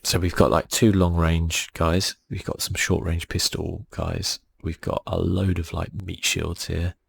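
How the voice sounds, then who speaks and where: A man speaking softly and casually, thinking aloud as he gives ASMR commentary on a video game. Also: sits low, level tone, unhurried, gravelly, slightly rough. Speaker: gaming channel host.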